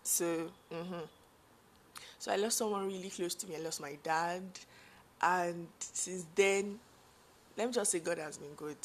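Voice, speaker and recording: A young Nigerian woman with a deep voice, chatting to her viewers about her late father, full of asides and fillers. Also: a little slow, long pauses. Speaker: vlogger. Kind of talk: personal storytelling to viewers.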